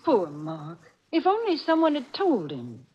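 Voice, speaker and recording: A woman announcer delivering a chirpy, persuasive advertising pitch in 1940s radio style. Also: wildly swooping pitch, unhurried, husky, exaggerated voice. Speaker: commercial announcer and co-host. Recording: muffled 1940s radio recording.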